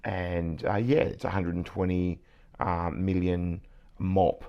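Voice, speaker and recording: A middle-aged man with a croaky voice, hosting a vlog and talking through industry news quietly at night, with bursts of excitement over the numbers. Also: sits low, takes it slowly, highs cut off. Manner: keeps voice hushed and quiet; enthusiastic, excitable about numbers. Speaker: CEO and vlog host. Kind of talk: casual news commentary vlog. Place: indoors at night.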